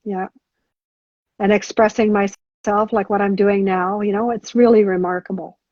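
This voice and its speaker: A middle-aged woman answering as a guest on a podcast interview, talking haltingly with many restarts and fillers. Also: mid-range voice, flat delivery, darkish tone, no echo. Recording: dull lo-fi sound.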